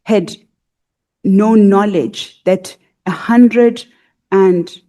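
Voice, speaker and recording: A woman's voice: mid-range voice, extremely slow, dark tone. A woman leading evidence at a parliamentary hearing, asking long, methodical questions with frequent fillers. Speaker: evidence leader questioning a witness. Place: parliamentary committee room.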